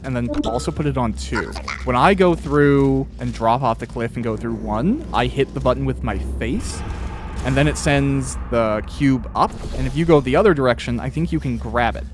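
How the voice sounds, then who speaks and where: A man's voice: mid-range voice, moderate pace. A man interviewing in an easygoing, casual way while playing a video game. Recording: music in the background.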